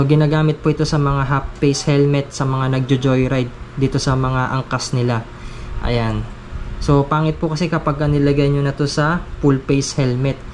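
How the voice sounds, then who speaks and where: A man's voice: a little high, a little hiss or hum. A man giving a casual, polite step-by-step product tutorial as a gear reviewer. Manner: polite, addressing viewers respectfully.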